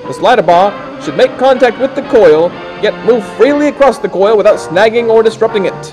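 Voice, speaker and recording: A man narrating a scripted how-to film, giving step-by-step instructions in a deliberate, folksy way. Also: high voice, animated. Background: instrumental music.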